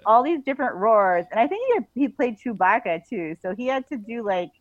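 A woman author talking casually as a podcast guest, halting and chatty, often saying like. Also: fairly high pitch, big pitch swings, noisy background. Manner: halting and chatty, frequently says like. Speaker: guest author and audio drama writer. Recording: dull lo-fi audio.

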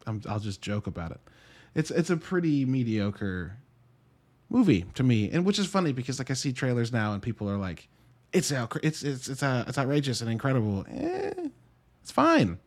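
Male podcast host, early thirties, mid-pitched voice. Informal solo reviewer style, meandering and joking, unpolished and salty. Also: big pitch swings, normal speed. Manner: rambling, informal and profane, joking asides.

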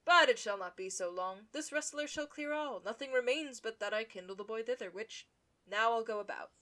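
A woman playing an envious, scheming young nobleman in a Shakespearean radio play, with a theatrical, insinuating, deliberate delivery. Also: on the high side, fairly bright tone, close to an everyday voice. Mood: brooding, scheming.

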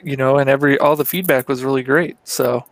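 A man, a magazine editor co-hosting, talking in a monotone, self-deprecating way. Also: mid-range voice, a little fast. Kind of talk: casual chat.

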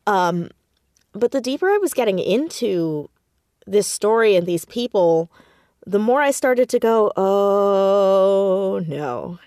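A woman talking casually as an interview guest about her writing, with animated, self-deprecating storytelling and emphatic stresses on words. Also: medium pitch, takes it slowly, no room sound, clean studio sound. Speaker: podcast guest, audio drama writer and creator.

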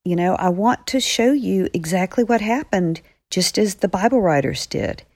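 A woman's voice: mid-range voice, expressive, moderate pace, a little mellow, no room sound. A woman author speaking as a guest, explaining earnestly to young listeners.

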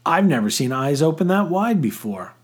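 A man voicing an excitable adolescent boy in an audiobook, stubborn, flustered and earnest, with a voice prone to cracking. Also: fairly high pitch, moderate pace, slightly rough, pitched above the performer's own voice. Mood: sheepish, earnest.

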